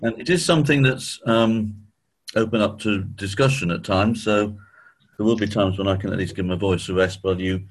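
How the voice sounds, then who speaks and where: A man with a croaky voice, clearing his throat often as he leads a church study session, slowing down on key points. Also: on the low side. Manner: measured, slows down on key points. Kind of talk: spoken teaching talk.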